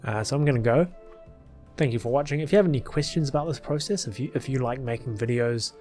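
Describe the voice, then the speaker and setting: A man's voice: medium pitch, fast, darkish tone. A middle-aged man giving casual, self-deprecating video commentary, his mouth partly numb. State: mouth partly numb after dental work. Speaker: video creator. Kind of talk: casual rambling commentary over a film edit. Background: background music.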